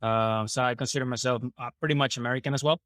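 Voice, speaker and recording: A man's voice: medium pitch, steady tone, quick pace, fairly bright tone, no echo, studio quality. Man, hesitant. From Venezuela. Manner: hesitant, many fillers. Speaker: blockchain developer.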